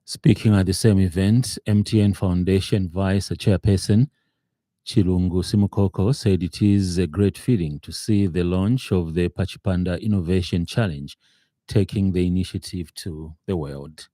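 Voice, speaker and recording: A man with a Zambian accent reading a scripted radio news bulletin in a formal, measured manner from a studio. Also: low-pitched, fairly even intonation, dark tone, no echo.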